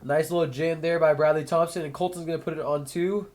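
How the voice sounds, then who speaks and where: A man's voice: fairly high pitch, fairly even intonation, fast, darkish tone. Nasal young man calling plays. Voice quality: slightly nasal from a cold. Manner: casual, thinks aloud between calls. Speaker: sports commentator. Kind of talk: solo play-by-play commentary.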